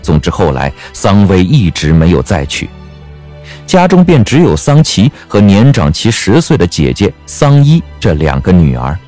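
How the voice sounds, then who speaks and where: A man narrating a scripted audio drama as an expressive storyteller. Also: sits low, moderate pace, soft background music.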